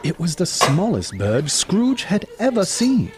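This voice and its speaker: Animated, higher-voiced male narrator. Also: a little slow. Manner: dramatic, expressive. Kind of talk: scripted storybook narration for audio drama.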